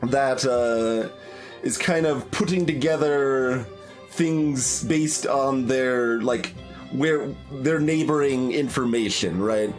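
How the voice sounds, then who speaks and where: A man's voice: medium pitch, slow pace. A man doing casual game commentary as a streamer, thinking aloud in a hesitant, analytical way. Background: game music.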